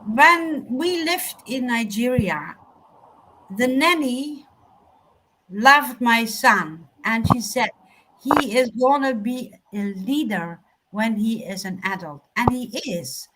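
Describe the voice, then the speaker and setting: A woman whose first language is German, speaking reflectively and off the cuff as a panelist, in a measured, halting way with emphatic pauses. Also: on the high side, lively intonation, noisy background. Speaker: panelist on a talk show. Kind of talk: reflective unscripted panel talk.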